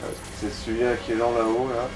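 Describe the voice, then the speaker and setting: A man's voice: mid-range voice, flat delivery, fast. A man making offhand, slangy remarks as a co-commentator on a video game. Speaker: co-commentator advising the player. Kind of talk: casual chat over gameplay. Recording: distant mic, music behind.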